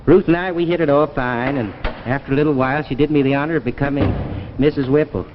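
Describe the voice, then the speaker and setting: An actor playing a meek but giddy, earnest time traveller in a 1950s radio drama, with a folksy, emotive delivery. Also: male voice, medium pitch, fairly even intonation, fast talker, slight background noise, natural voice. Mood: wistful, folksy. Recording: dull vintage radio recording with music.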